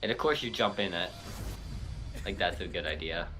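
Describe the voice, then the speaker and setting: A man's voice: sits low, moderate pace. A man hosting a reaction video, giving casual, off-the-cuff commentary. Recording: cartoon soundtrack music behind.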